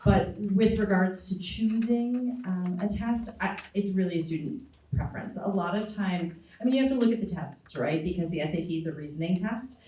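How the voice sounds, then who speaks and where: A woman in her forties, a college admissions director, answering questions on a panel in a steady, explanatory way with frequent ums. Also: medium pitch, moderate pace, few pauses, slight background noise. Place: school auditorium. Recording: echoey hall, distant mic.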